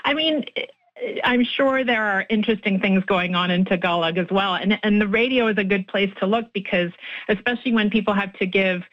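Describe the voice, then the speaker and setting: A woman's voice: mid-range voice, a little fast, a bit bright, lo-fi sound. A woman linguist explaining her research in a radio interview, thoughtful, with frequent restarts and fillers. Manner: thoughtful, many restarts and fillers, laughs easily. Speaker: linguist guest.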